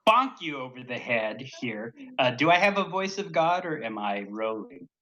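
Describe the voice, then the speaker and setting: A man's voice: mid-range voice, big pitch swings, normal speed, pushed and bright, highs cut off. A man narrating as dungeon master in a tabletop game stream, rambling with many fillers and chuckles. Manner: rambling, hesitant, frequent fillers and laughter.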